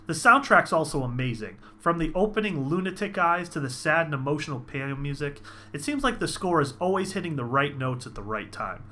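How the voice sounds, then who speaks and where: A man's voice: a little high, bright, forceful tone. A man hosting a video review, speaking in an emphatic, informal way as he narrates his enthusiastic take. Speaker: host of a visual novel review channel.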